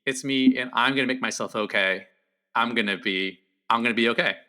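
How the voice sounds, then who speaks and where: A man with a bright, forceful voice talks through a film in loose, casual chat. He gets animated, laughs often and jumps up high to act out lines. His pitch sits in an ordinary middle range. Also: fairly quick. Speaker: podcast guest, film critic.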